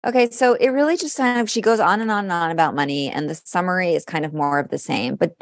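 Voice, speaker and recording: A woman's voice: lowish voice, fast talker, no room sound, studio quality. A woman co-hosting a podcast in casual chat, reading horoscopes aloud in a conversational way with some dramatic delivery. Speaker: podcast co-host.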